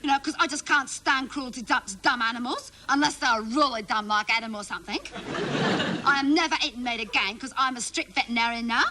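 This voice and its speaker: High-voiced, indignant schoolgirl chatterbox played by a woman, noisy recording. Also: fast talker, lightly acted. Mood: indignant, dramatic. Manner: breathless run-on gossip with mimicked family quotes. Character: indignant, naive, chatty schoolgirl telling tales of family disaster. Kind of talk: comedy character monologue.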